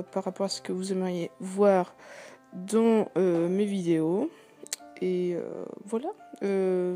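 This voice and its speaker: Young woman, hoarse, quiet and hesitant, mellow tone, faint music under her voice. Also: medium pitch. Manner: speaks softly, hesitant with many fillers. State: hoarse, sore throat. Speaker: art channel host. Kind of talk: casual vlog voice-over about painting.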